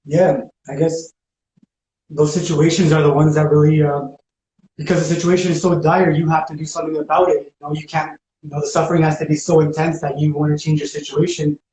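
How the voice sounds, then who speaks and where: A man's voice: on the high side, level tone, fairly quick, dark tone. A man talking casually and reflectively, in informal everyday language. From spent teenage years in Ecuador. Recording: roomy echo, faint background noise.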